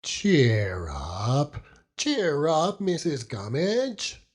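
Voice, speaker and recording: A man's voice: a little high, huge pitch swings, extremely slow, breathy edge, voice pushed up, exaggerated voice. A man voicing a doting, simple old fisherman with a rural English dialect in an audiobook. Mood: hearty welcome. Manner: rambling, folksy, chuckling warmly. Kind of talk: audiobook character acting.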